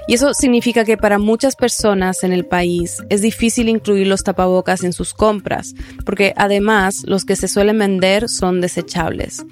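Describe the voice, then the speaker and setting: A woman hosting a news podcast, narrating in a clear, explanatory way and asking follow-up questions. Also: mid-range voice, steady tone, normal speed, few pauses, soft background music. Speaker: podcast host.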